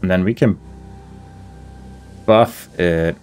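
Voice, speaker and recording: Low-voiced man musing through game commentary. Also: takes it slowly, a little mellow, a little hiss or hum. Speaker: gaming video host.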